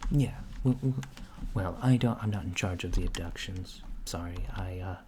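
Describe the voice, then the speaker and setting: A man doing soft-spoken ASMR roleplay as a polite, curious alien clerk, hesitant and apologetic, often slipping toward a whisper. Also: low-pitched, normal speed. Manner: hesitant, apologetic, earnest questioning, drifting into whispers. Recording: noisy close recording with keyboard typing. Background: computer keyboard typing.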